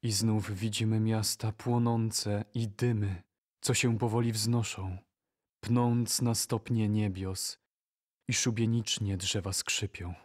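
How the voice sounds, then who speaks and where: Slow, low-voiced man reciting poetry solemnly. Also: flat delivery. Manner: solemn, measured delivery. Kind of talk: dramatic poetry recitation for audio play.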